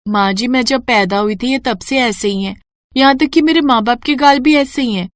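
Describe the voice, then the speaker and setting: A woman's voice: on the high side, normal speed, no room sound, clean studio sound, voice pushed up, natural voice. A woman voicing a meek young daughter-in-law in a cartoon story, polite and plaintive.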